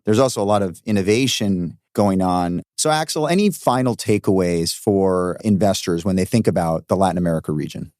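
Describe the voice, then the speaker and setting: A man's voice: low voice, a little fast, hardly any pauses, a little mellow, no echo, clean studio sound. A man hosting a podcast interview, asking guiding questions in a conversational way. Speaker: podcast host. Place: studio.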